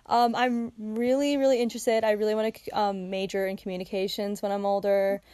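A woman's voice: medium pitch, flat delivery, brisk, mellow, clear, resonant tone, dry recording. Giggly teenage girl podcast co-host. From Chicago, USA. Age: teens. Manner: giggly, chatty, lots of "like". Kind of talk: casual chat.

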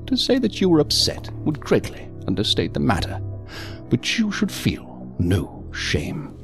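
An actor playing a calm, evasive male psychiatrist in an audio drama, speaking in a measured, formal, unctuous manner. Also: mid-range voice, gravelly, music in the background, full character voice. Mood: smooth, condescending.

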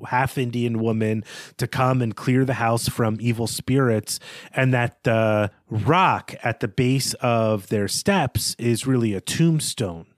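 A man's voice: medium pitch, no room sound, clean studio sound. A man co-hosting a book podcast, thinking aloud in an informal, analytical way. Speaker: podcast co-host discussing a novel. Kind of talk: analytical conversational book discussion.

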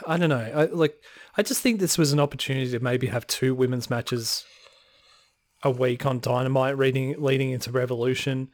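Man ranting, a little hoarse, dry sound. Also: mid-range voice, steady tone, moderate pace, dry recording. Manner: frustrated ranting with sighs. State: slightly hoarse. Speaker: podcast co-host. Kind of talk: casual chat.

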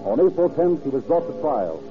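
An actor narrating an old-time radio crime drama in a solemn, measured storytelling style. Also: male voice, medium pitch, very animated, narrow, tinny audio, lightly acted. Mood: measured, dramatic. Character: solemn male narrator of a true-crime case. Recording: dated band-limited radio recording, music underneath. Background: music.

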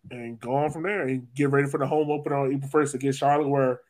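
A man's voice: medium pitch, steady tone, fast, a little mellow. A man hosting a soccer podcast, chatting casually about the sport.

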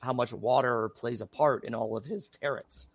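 A man speaking as a researcher guest in a casual interview, his voice raspy from being sick, hesitant with many fillers. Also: medium pitch, normal speed, no room sound.

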